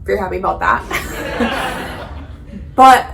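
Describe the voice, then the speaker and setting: A woman in her thirties chatting casually to the camera while doing her makeup, animated and full of fillers and jokey asides. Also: medium pitch, takes it slowly, vocal fry, background noise. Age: 30s. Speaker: beauty vlogger.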